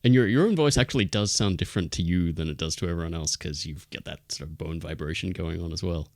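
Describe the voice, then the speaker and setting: A man hosting a livestream, chatting in a relaxed, self-deprecating way. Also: sits low, fast, dry recording, clean studio sound. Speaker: show host. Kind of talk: casual livestream chat.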